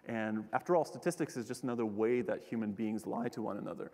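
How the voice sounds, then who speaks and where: A man's voice: on the low side, fast talker, warm tone. A young man giving a casual public science talk from a lecture hall stage, joking and restarting his sentences with many fillers. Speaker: keynote speaker, astronomer. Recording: long hall reverb.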